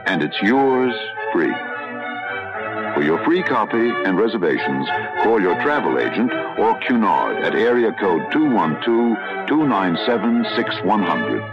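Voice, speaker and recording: Casting: male commercial announcer, animated low voice, muffled radio spot over loud music. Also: measured pace. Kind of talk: scripted radio advertisement.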